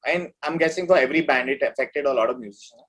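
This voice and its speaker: A man's voice: mid-range voice, quick pace, a bit bright, breathy edge. A man talking informally as an interview guest, rambling along in a casual chat. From India. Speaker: metal musician, interview guest.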